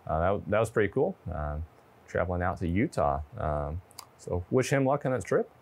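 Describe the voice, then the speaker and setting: Casual low-voiced young man. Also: expressive, moderate pace, noisy background. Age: 20s. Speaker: presenter demonstrating ham radio gear. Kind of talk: casual product demo and radio chat. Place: indoor studio with wood-panel backdrop. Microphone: lapel mic.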